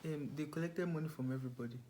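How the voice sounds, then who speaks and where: A young man with a Nigerian accent casually recounting a memory in a halting, hesitant way. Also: a little high, warm tone, slight background noise. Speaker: guest, vlogger's younger brother.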